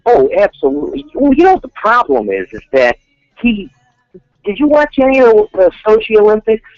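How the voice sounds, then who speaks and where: A man's voice: high-pitched, big pitch swings, fairly quick, a little mellow, no echo. A man voicing a boastful, rambling male Russian composer with a Russian accent and a crude, swearing manner, heard over a dull phone line. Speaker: radio show guest.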